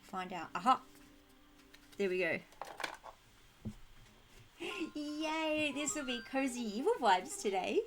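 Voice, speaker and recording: A woman with a slightly croaky throat chatting casually and bubbly to viewers while live streaming a game, affectionate and quick to giggle. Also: on the high side, lively intonation, fairly bright tone, slight background noise. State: croaky throat, needs clearing. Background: music.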